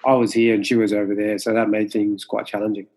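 A man in his forties from Mauritius, whose first language is Creole, giving thoughtful interview answers with many pauses and fillers. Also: lowish voice, little pitch movement, a little mellow. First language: French Creole. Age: 40s. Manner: measured, with many fillers and restarts. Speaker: martial arts instructor, guest.

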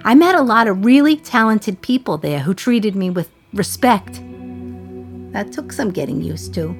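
A woman's voice: medium pitch, lively intonation, moderate pace, slightly rough, faint music behind. An actress playing a sardonic, resentful jailed woman in her thirties from 1950s New York, confiding her memories in a radio play monologue. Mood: fond, wistful.

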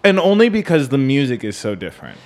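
A man's voice: medium pitch, sing-song, fast. A man co-hosting a casual, analytical music talk in an informal, meandering way, often checking for agreement. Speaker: podcast co-host. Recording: faint background room noise.

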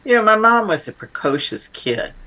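A woman's voice: mid-range voice, very animated, moderate pace, pushed and bright, slightly rough. A woman speaking as an interview guest, explaining informally and with emphasis in a reflective, conversational way.

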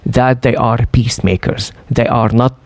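A middle-aged man with a Syrian Arabic accent, telling his story as an interview guest, halting with many fillers and restarts. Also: mid-range voice, steady tone, creaky, no echo. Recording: dry studio.

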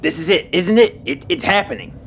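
Nervous politician, radio play, highish voice, very animated pitch, hesitant, eager to please. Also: male voice, fairly quick, gravelly, slight background noise, exaggerated voice. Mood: nervous anticipation. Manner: hesitant, stammering, anxious. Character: nervous, eager, deferential male political speaker.

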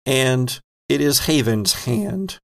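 A man narrates a tabletop horror game as its game master. His delivery is unhurried, with noticeable creak in a mid-pitched voice, and he builds suspense in short dramatic phrases. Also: lively intonation.

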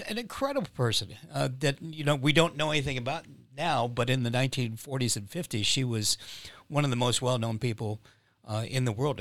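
A man, a playwright, speaking in a podcast interview, measured and explanatory with frequent pauses and fillers. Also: medium pitch, bright, forceful tone, no room sound.

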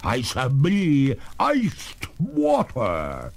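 A man voicing a haughty female water ghost in a storybook, with formal, melodramatic, drawn-out speech. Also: medium pitch, huge pitch swings, gravelly, voice pushed up, heavily acted. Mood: threatening.

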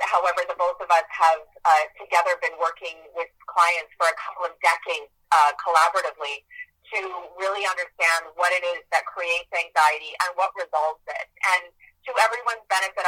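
An earnest woman speaks as an interview guest, pressing her points and circling back to the same phrases. Her voice sounds bright and sharp. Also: lowish voice, low-quality recording. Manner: earnest, emphatic, repeats key phrases. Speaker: guest, author and healer. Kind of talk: podcast interview, earnest self-promotion.

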